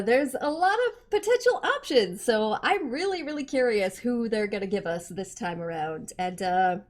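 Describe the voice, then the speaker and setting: Lively, slightly hoarse woman gaming host. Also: fairly high pitch. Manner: chatty, animated and exclamatory. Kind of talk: casual reaction commentary.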